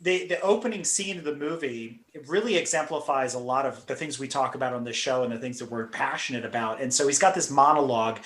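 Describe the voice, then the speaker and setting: A man hosting a car podcast, telling stories in an animated, chatty way with frequent laughs. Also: mid-range voice, fast talker, hardly any pauses. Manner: animated storyteller, laughs often. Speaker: podcast host. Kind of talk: casual chat. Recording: roomy echo.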